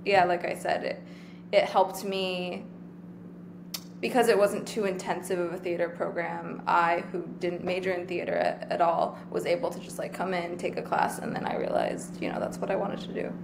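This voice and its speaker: Relaxed young actor from Taiwan. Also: female voice, on the low side, moderate pace, gravelly, background noise. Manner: relaxed, rambling with many fillers. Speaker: guest actor. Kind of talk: casual interview chat.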